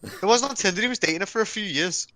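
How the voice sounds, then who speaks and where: Fast-talking Englishman, bright and cocky. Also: high voice, dry recording. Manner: cocky bantering, swears freely. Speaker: podcast co-host. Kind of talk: casual group chat. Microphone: online voice call.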